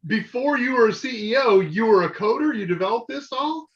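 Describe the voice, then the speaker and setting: A man's voice: very high voice, animated, fairly quick, a bit bright. Enthusiastic male tech stream host. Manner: chatty and enthusiastic, showing off gadgets. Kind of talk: live Q&A tech show. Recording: dull, lo-fi stream audio.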